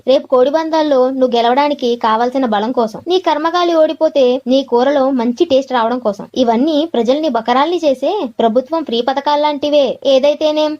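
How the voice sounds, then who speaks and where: A sharp-tongued, proud matron from a comic Telugu cartoon acted by a woman. She scolds and lectures at speed in a high voice. The sound is slightly dull and lo-fi. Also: natural voice. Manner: scolding, opinionated. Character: sharp-tongued, proud, elder female festival dung-ball figure.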